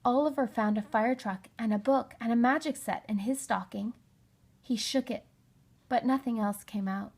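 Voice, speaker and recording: A woman narrating a children's storybook in an expressive read-aloud style. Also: a little high, normal speed, husky. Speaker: storybook reader.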